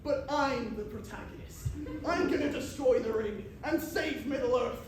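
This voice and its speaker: A woman's voice: high voice, unhurried, faint music behind. A woman playing a vain, jealous hobbit hero with hammy, melodramatic asides in an amateur stage pantomime. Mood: scornful, indignant. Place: theatre stage, miked from a distance. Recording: echoey, noisy theatre recording from far off.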